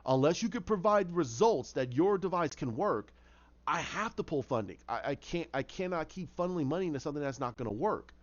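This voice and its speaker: A man voicing a wealthy businessman who is measured, grave and firm but regretful. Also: a little high, animated, dull audio, lightly acted.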